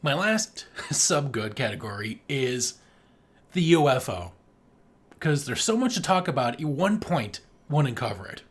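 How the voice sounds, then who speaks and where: Animated, enthusiastic podcast co-host. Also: mid-range voice, measured pace, slightly rough. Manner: enthusiastic, emphatic, frequently swearing. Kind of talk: casual film review chat.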